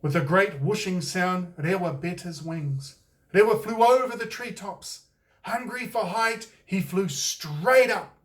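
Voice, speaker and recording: A New Zealand man narrates a picture book in a slightly high voice. He takes his time, reading very slowly and expressively. The microphone sits a little way off from him. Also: far from the mic. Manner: unhurried, expressive read-aloud delivery. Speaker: author and storyteller.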